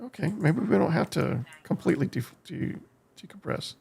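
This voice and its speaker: An American man streaming a game, giving casual live commentary in a halting way as he thinks aloud, with a gravelly, husky voice. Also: on the high side, animated, a little mellow. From United States. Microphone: streaming desk microphone.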